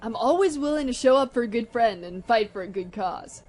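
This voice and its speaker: A woman's voice: on the high side, lively intonation, a little fast, a little mellow. A woman in a radio play voicing a laid-back, slangy female pony DJ who is a loyal friend. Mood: breezy, friendly. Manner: slacker slang, easygoing.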